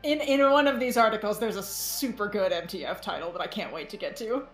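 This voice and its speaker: A woman's voice: mid-range voice, a little fast. A woman chatting casually as a guest on a podcast. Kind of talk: casual chat. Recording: roomy echo, faint music behind. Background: music.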